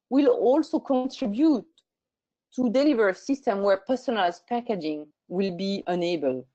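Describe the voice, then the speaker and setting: A woman hosting a webinar, reading a measured presentation. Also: a little high, warm tone. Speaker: webinar host. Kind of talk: scripted presentation. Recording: muffled lo-fi webinar audio.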